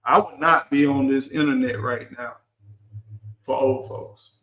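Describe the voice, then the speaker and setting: A man's voice: medium pitch, steady tone, measured pace, a bit bright, echoey room, rough recording. A middle-aged man hosting a live stream, talking earnestly and urging his listeners, often repeating and restarting words. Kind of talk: casual live stream talk.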